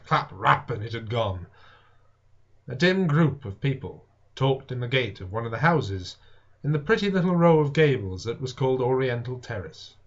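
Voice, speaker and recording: A man narrates a literary story in a theatrical, deliberate way, shaping phrases expressively. His voice sits at a medium pitch. The recording is dull and lo-fi, like a homemade audiobook. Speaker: audiobook reader. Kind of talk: dramatic literary narration of a Victorian science fiction novel.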